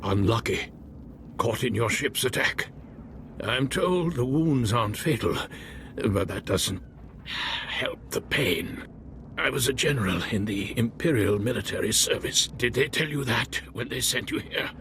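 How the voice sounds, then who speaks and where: A man's voice: medium pitch, animated, measured pace, a bit bright, a little hiss or hum. An actor playing a wounded, weary military defector in a game, grim and resigned, his voice strained by pain. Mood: pained, wry. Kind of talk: dramatic game cutscene acting.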